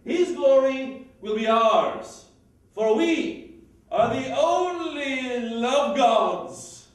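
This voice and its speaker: Very reverberant room, man performing as scheming jovial lord, high-pitched, playful, declamatory stage Shakespeare. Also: lively intonation, extremely slow, heavily acted. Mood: playful, exuberant. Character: Don Pedro, jovial scheming nobleman playing matchmaker. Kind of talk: theatrical Shakespeare monologue. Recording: very echoey room, distant mic.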